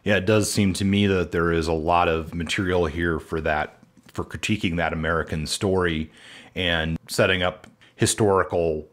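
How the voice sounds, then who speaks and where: A man with a very low, deep voice hosts a podcast conversation. He talks in a relaxed, easygoing way and pauses often on fillers. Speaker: podcast host.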